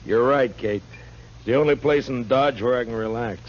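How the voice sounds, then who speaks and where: An actor playing a blunt, world-weary American frontier marshal with dry humor in a 1950s radio western. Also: male voice, mid-range voice, normal speed, close to an everyday voice. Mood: relaxed, teasing. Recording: muffled, noisy early 1950s radio recording.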